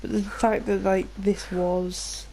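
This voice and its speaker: Woman, British accent, mellow tone, slightly nasal with a cold, casual rambling game commentary, faint background noise. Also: medium pitch, level tone, slow, creaky, low-quality recording. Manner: rambling, informal, sweary. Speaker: gaming video host.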